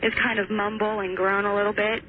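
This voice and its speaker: A woman's voice: medium pitch, little pitch movement, a little fast. A young woman calling a radio show, casually recounting a personal experience in a tentative, hesitant way. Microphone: telephone. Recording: tinny phone line, faint noise.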